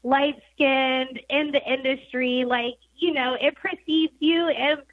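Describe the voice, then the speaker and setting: Young woman, sweet girlish voice, high pitch, chatty, frequent laughter, muffled lo-fi recording. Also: steady tone, slow pace. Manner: chatty, laughs often, many fillers. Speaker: guest musician. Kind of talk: casual interview chat. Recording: dull lo-fi sound.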